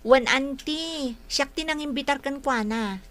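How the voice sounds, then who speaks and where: A woman's voice: sits high, unhurried, a bit bright. A woman with an Ilocano accent acting a confident, breezy, persuasive young woman in a radio drama. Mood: reassuring, persuasive.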